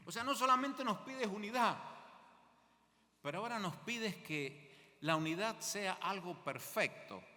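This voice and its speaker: An older Argentine man preaches with a bright, forceful voice that rises and falls in lively swings. He talks to the congregation in a conversational way and often checks in with them through questions. His voice carries a long echo, as if it were recorded in a church hall. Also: fairly high pitch. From Mendoza, Argentina. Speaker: guest preacher. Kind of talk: sermon.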